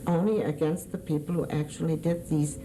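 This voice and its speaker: A woman in her sixties with a German accent, born in Germany, recalling her past in an oral history interview, often pausing and searching for words. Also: sits low, fairly even intonation, noisy background.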